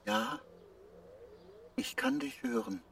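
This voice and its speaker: A man playing a confused artificial intelligence of a spacecraft, speaking in a halting, disoriented way, sounding distant. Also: very high-pitched, huge pitch swings, gravelly, fairly bright tone, a little hiss or hum, full character voice. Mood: confused, searching.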